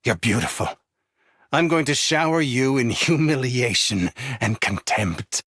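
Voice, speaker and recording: An actor playing a menacing, sadistic game villain who speaks in a deliberate, seductive way. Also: male voice, mid-range voice, expressive, fairly bright tone, no room sound, studio quality. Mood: seductive contempt. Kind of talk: video game voice lines.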